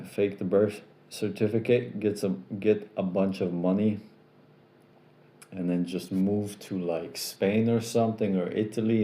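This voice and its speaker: Relaxed American-accented man, dark roomy voice. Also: sits low. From Poland. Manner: relaxed, rambling, repeats himself. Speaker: live stream host. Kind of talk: casual live chat reading viewer questions.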